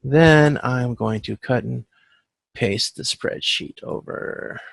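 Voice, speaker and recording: A man giving an informal online lecture as an instructor, talking in a halting, self-correcting way and often checking in with the listener. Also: medium pitch, creaky, no room sound. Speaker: instructor teaching supply chain management. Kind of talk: informal lecture walking through spreadsheet calculations. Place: online video conference with screen share.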